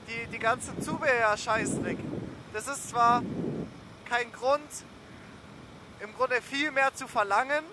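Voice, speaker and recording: A young man, chatting casually and slangily in a rambling way while riding a motorbike outdoors. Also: extremely high pitch. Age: young adult. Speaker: motorcycle vlogger. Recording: riding noise, wind and engine. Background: motorcycle engine and road noise.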